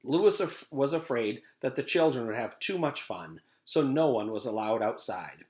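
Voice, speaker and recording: A man, a teacher, reading a chapter book aloud to his class in an expressive read-aloud manner. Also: mid-range voice, moderate pace. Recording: echoey room.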